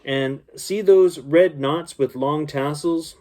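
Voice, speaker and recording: A man's voice: a little high, slow, mellow, pitched above the performer's own voice. A man voicing a friendly woman who keeps an ice cream shop in a storybook, kindly explaining things to a child.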